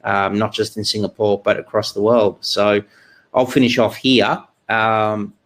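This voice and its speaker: Man, thirties, webinar presenter on a webcam, halting delivery full of ums and restarts. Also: lowish voice, flat delivery. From Australia. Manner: halting, many fillers and restarts. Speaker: exchange research presenter. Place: indoor room, video call. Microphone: webcam video call.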